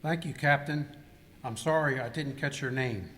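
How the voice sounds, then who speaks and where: A man's voice: mid-range voice, moderate pace, a bit bright, close to an everyday voice. A man playing a dry, grumbling cowboy outlaw in an amateur live radio skit, recorded in an echoey, noisy hall. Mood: curious. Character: grumbling wisecracking male cowboy outlaw Bob.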